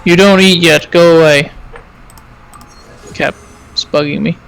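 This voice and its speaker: A man's voice: a little high, fairly even intonation, unhurried, creaky, fairly bright tone, smooth, soft background music. An easygoing, agreeable man chatting casually as a companion on a gaming stream, over noisy audio. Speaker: co-commentator in gaming chat. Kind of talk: casual chat.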